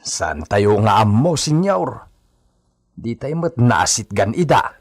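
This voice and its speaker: Man acting a husky, animated hacienda henchman. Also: mid-range voice, slow, dry recording, studio quality, heavily acted. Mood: deferential, worried. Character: deferential, cautious male hacienda henchman. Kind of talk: radio drama acting.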